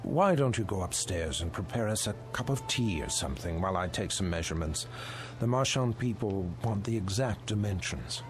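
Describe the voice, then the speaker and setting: Man playing animated, practical museum owner. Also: lowish voice, normal speed, faint music behind, close to an everyday voice. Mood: firm, reasoning. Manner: reasoning, persuasive, dismissive. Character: practical, brisk museum owner, an old friend. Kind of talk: audio drama acting.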